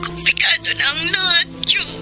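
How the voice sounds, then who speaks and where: An actress playing a sad young woman who misses her former boyfriend in a radio drama, soft and hesitant, with a Filipino accent. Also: extremely high pitch, fairly even intonation, moderate pace, exaggerated voice. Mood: tearful, yearning. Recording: loud music over the voice.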